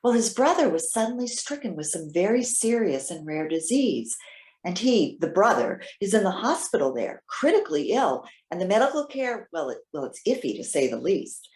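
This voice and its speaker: Woman acting a chatty elderly widow, very animated. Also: mid-range voice, close to an everyday voice. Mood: concerned, earnest. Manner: chatty, halting, earnest, emphatic. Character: trusting, excitable elderly widow smitten with online friend. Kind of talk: readers theater stage acting. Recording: roomy, echoing sound.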